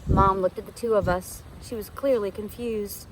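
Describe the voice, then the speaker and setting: A woman's voice: medium pitch, steady tone, normal speed, slight background noise, rough recording. A woman reading a children's novel aloud like a teacher, with dramatic, suspenseful expression. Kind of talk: expressive storybook read-aloud.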